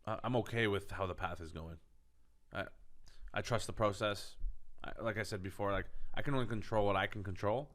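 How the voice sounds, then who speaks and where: A man's voice: on the low side, a little fast, fairly bright tone. A man from Canada, a comedian guest, talking candidly and self-assuredly in a studio interview. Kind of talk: casual interview talk.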